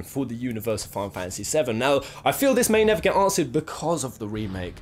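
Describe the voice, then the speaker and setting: A man's voice: mid-range voice, darkish tone, slight background noise. A man hosting a countdown video with casual, unscripted commentary, talking in an emphatic, jokey, sweary, slangy way. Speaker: video host presenting a game countdown. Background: game soundtrack music.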